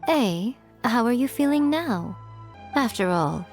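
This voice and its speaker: A woman's voice: on the high side, lively intonation, takes it slowly, darkish tone. An actress playing a shrewd, self-assured female shrine keeper in a video game, poised and eloquent with a playfully smug manner. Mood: composed, probing. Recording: background music under the voice.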